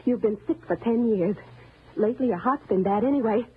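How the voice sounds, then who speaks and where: An actress playing a timid, resentful wife turned murderous, in old-time radio thriller style, menacing and bitter. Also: female voice, on the high side, fairly quick, close to an everyday voice. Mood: calm menace. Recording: noisy, narrow-band old radio recording.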